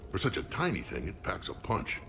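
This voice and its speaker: An actor playing a confident, sardonic soldier with blunt, self-assured delivery in a video game. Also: male voice, deep voice, wildly swooping pitch, brisk, fairly bright tone, a little hiss or hum, exaggerated voice. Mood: blunt, serious.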